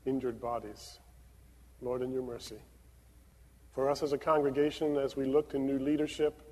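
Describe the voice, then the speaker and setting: Man, pastor, from Venezuela, halting announcements, long church echo. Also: medium pitch, mellow. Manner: hesitant, many fillers in announcements. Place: church sanctuary. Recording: long reverb of a church sanctuary.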